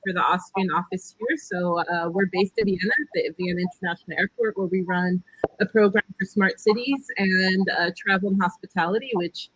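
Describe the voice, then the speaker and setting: A woman's voice: on the low side, brisk, noisy background. A woman in her thirties from California with an American accent, telling her career story on a video call panel, chatty and hesitant with many fillers. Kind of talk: casual panel talk.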